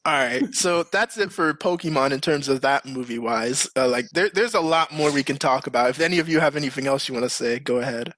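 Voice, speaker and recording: A man's voice: mid-range voice, brisk, hardly any pauses, fairly bright tone, no room sound. A man hosting a podcast in casual, slangy, informal chat. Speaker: podcast host.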